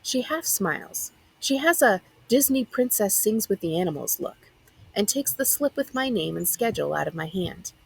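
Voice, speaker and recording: A woman librarian reading a first-person children's novel aloud as expressive narration. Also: medium pitch, moderate pace, gravelly, husky, low-quality recording.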